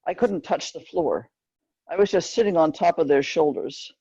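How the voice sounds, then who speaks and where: A woman reads a narrative aloud in plain, measured storytelling phrases, like an author at a book reading. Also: sits low, mellow, husky. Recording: dull, roomy lo-fi recording.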